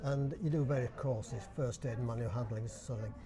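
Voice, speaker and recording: A man's voice: medium pitch, steady tone, a little fast, warm tone. An older man from Bradford with a Yorkshire accent, chatting and explaining in an interview. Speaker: dialect society chairman, guest. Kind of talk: casual interview.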